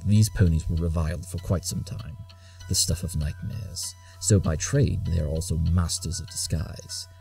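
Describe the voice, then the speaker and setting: A man's voice: deep voice, gravelly, mellow, slightly rough, exaggerated voice. An actor playing a brilliant, imperious detective in an audio drama, condescending and impatient as he lays out deductions. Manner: clipped deductive monologues, condescending.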